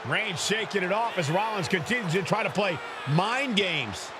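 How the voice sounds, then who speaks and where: A man's voice: sits high, animated, moderate pace. A man doing urgent, dramatic play-by-play wrestling commentary, repeating calls and firing off rhetorical questions. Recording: very noisy arena crowd. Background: roaring crowd, slams.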